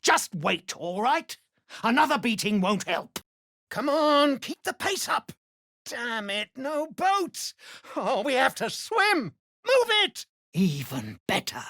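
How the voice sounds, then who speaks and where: Urgent, hot-tempered gnome captive. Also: male voice, extremely high pitch, wildly swooping pitch, takes it slowly, pushed and bright, no echo, clean studio sound, exaggerated voice. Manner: urgent, rough-spoken, emotional. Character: desperate, hot-tempered male gnome captive and explosives expert. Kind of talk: video game character voice lines.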